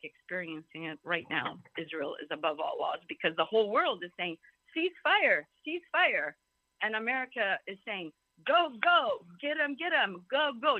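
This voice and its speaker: A woman's voice: mid-range voice, dry recording. Woman from Greece, talkative and emphatic event speaker, digressive personal testimony, narrow telephone-like audio. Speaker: symposium speaker. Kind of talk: informal personal testimony at a symposium. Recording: thin phone-like call audio.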